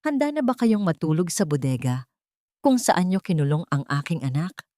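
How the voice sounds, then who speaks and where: A woman voicing a calm, cold young heiress and mother who speaks low, slow and close to a whisper. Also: dry recording. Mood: icy whisper.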